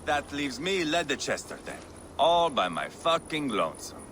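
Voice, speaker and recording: An actor playing a seasoned, middle-aged Viking warrior in a video game, blunt, sardonic and crude. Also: male voice, a little high, sing-song, normal speed, a bit bright, a little hiss or hum. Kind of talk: video game dramatic dialogue.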